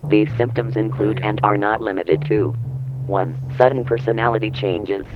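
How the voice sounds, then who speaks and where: Muffled, low-pitched, ominous male-acted official announcer. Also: natural voice. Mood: clinical, ominous. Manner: formal, measured, clinical. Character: ominous official public-safety announcer, calm adult man. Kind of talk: scripted analog horror narration. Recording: muffled lo-fi tape-style narration. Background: music.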